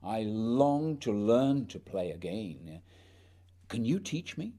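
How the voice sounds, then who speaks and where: A man's voice: on the low side, sing-song, slow, mellow, full character voice. A man playing a proud, determined naval explorer in a radio play, speaking earnestly and dramatically, often questioning. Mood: earnest, searching.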